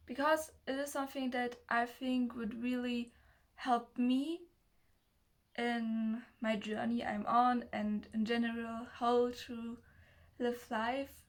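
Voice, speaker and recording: Slow, hesitant young German woman. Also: on the high side. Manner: hesitant, nervous, halting. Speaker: self-development video creator. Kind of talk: casual personal talk to camera.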